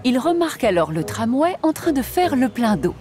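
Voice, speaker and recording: Woman narrator for a kids' cartoon: storybook telling, lively pitch. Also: normal speed. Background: music.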